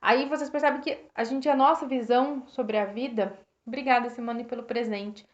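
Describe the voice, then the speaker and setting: A Brazilian woman with a slightly hoarse voice, reflecting casually on a book for her live viewers, with many restarts, thanking people by name. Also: fairly high pitch, fairly even intonation, normal speed. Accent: Brazilian Portuguese. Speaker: host reading and reflecting on a self-help book. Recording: roomy, dull lo-fi live stream.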